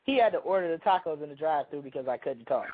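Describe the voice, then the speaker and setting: A hoarse man chats casually on a talk show, rambling through a story and often restarting his sentences. His voice sits a little high for a man. The sound comes through a thin, phone-like line. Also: expressive, fast talker. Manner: rambling, self-interrupting storyteller. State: hoarse from a cold. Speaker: radio show co-host.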